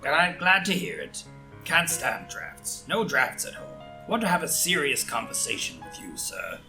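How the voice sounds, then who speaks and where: A man's voice: on the high side, very animated, moderate pace, over music. A man playing a crusty, bossy old aristocratic father in a comedy play reading, brusque and scolding. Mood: gruff, demanding.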